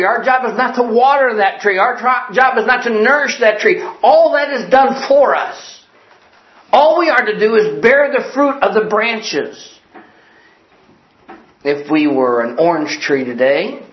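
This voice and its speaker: A man preaching a sermon in an earnest, emphatic way, repeating his key phrases and quoting old-fashioned scripture wording. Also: high-pitched. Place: church building. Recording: echoey, dull lo-fi sound.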